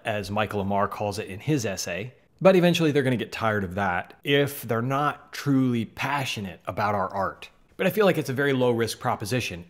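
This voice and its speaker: A man in his thirties with a Midwestern accent, from the American South, talking earnestly to camera as host of a magic channel in a small home studio. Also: mid-range voice. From Southern United States. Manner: earnest, conversational, gestures with hands. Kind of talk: casual talk to camera. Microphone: studio mic on boom in front.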